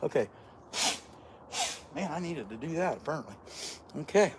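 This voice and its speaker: A hoarse man hosting his carving channel, talking in a folksy, self-deprecating, joking way. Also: a little high, expressive, moderate pace, a little mellow, a little hiss or hum. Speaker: chainsaw carving channel host. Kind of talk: casual workshop vlog chat.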